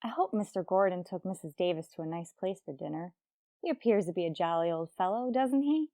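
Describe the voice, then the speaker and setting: An actress playing a wry, lovelorn schoolteacher with deadpan, sarcastic wit in an old-time radio comedy. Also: female voice, fairly low pitch, fairly quick, no echo, clean studio sound. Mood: wry, hopeful.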